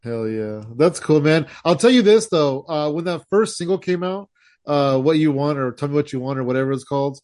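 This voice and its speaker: Laid-back american host, dry sound. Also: male voice, fairly high pitch, expressive, fairly quick, darkish tone, no echo. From United States. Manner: easygoing, says "hell yeah", laughs along. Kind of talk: casual interview.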